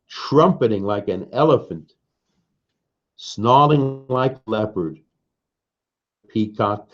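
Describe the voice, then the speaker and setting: Low-voiced, husky man reading to children. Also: a little mellow. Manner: sing-song refrains, questions to listeners; slow, simple explanations for children. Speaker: children's story reader. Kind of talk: picture book read-aloud to young children. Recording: dull, muffled lo-fi sound.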